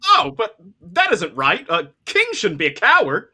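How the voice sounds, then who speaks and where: Scarecrow, female actor: simple, friendly, curious, wildly animated and bright, for a children's fantasy read. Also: medium pitch, measured pace, slightly rough, heavily acted. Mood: earnest. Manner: earnest, inquisitive. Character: friendly, simple-minded straw-stuffed scarecrow. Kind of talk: live table read of a children's fantasy story.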